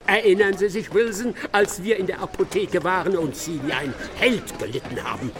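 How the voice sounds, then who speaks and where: An actor in a radio drama playing a brisk, bossy master detective who is boastful and impatient. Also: male voice, high voice, lightly acted. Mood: smugly triumphant. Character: triumphant, brisk, self-satisfied master detective.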